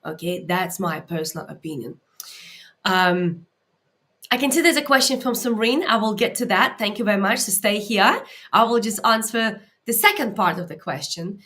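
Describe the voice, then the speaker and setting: A woman's voice: mid-range voice, a bit bright. Emphatic woman with an Eastern European accent. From Latvia. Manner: emphatic and earnest, with repeated check-words like "okay" and "right". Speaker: mindset coach and live Q&A host. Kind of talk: live Q&A talk to online viewers, off the cuff.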